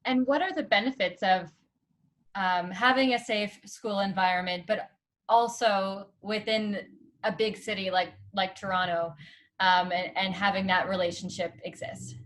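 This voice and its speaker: Woman interviewer with halting questions. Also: medium pitch, steady tone, a bit bright. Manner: halting, self-correcting questions.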